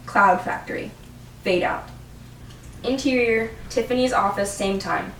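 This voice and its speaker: A young adult woman reading stage directions as the narrator of an amateur script read. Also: medium pitch. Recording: roomy, distant mic, faint noise.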